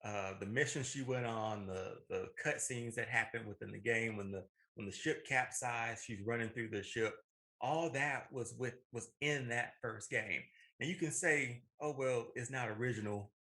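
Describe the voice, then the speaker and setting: A man with a bright, forward voice at a middle pitch co-hosts a casual discussion show. He talks emphatically and loosely, often doubling back to rephrase what he just said. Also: moderate pace. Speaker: podcast co-host. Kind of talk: casual animated movie discussion.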